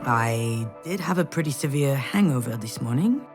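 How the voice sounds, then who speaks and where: A woman's voice: a bass voice, expressive, normal speed, a little mellow, soft background music. An actress playing an anxious, self-deprecating writer in her thirties in a video game, with a hesitant, wry, introspective delivery. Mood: dry, wry. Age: 30s. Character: anxious, self-deprecating 36-year-old failed writer daughter. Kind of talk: naturalistic game voice acting, dialogue and inner monologue.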